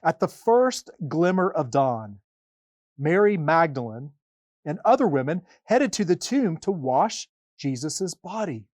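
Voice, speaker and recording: A man reading a children's Bible story aloud as a priest, with dramatic, deliberate phrasing and pauses. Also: a little high, sing-song, a little mellow, dry recording, clean studio sound.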